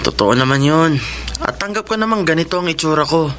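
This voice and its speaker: A man's voice: on the high side, animated, a little fast, fairly bright tone, slight background noise. An actor playing a self-conscious, loving teenage boy in a radio drama, earnest and plaintive. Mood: sad, wistful. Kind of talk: Filipino radio drama acting.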